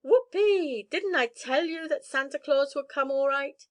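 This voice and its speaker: Storybook boy, small and exuberant, shouting high, played by a woman reader. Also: a little slow. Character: excited little boy.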